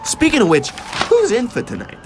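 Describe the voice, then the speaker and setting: A man's voice: very high-pitched, huge pitch swings, fairly quick, exaggerated voice. A man acting a cocky, jovial, bossy construction worker on stage, boastful and needling. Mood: pushy. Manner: boastful, needling, blue-collar banter. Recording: outdoor recording with background noise, sirens.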